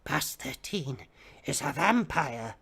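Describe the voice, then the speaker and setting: A man's voice: fairly high pitch, animated, creaky, a bit bright, breathy edge, pitched below the performer's own voice, exaggerated voice. Man putting on an eerie, intense old drifter. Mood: feverish, secretive. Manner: slow, conspiratorial, ominous.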